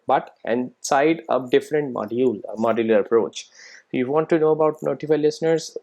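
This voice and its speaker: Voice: medium pitch, mellow. Informal coding tutor thinking aloud. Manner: checks in with rhetorical questions and tag "right?"; thinks aloud while typing code. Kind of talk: informal screen-recorded programming tutorial.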